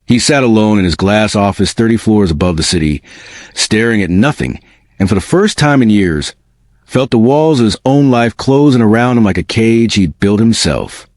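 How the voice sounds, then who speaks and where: Man, low-pitched voice, scripted dramatic narration, very varied intonation, close dry sound. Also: lively intonation, normal speed, darkish tone, dry recording.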